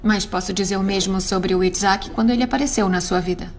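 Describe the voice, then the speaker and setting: A woman plays a calm, persistent interrogator in a dubbed drama. She has a Brazilian accent and a mid-pitched voice, questioning in a measured way over faint background noise. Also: lightly acted. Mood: probing. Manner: measured, probing. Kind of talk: dramatic film dubbing.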